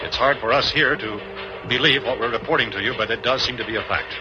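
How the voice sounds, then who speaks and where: A man plays a grave American newscaster of the 1960s. He reads formally at a medium pitch, in dull old broadcast audio with music behind. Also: natural voice. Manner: formal, deliberate, sober. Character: grave old-time newsman announcing the walking dead.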